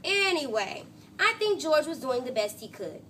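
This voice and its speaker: Earnest young schoolboy, read by a woman, bright-toned. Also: sits high, sing-song, normal speed, recorded from a distance, slight background noise, heavily acted. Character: earnest, fair-minded, hesitant young schoolboy.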